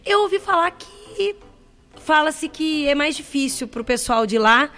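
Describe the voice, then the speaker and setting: A woman hosting a casual talk show, chatty and quick to laugh, asking her guest many questions. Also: sits high, animated, fairly bright tone. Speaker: host. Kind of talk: casual chat.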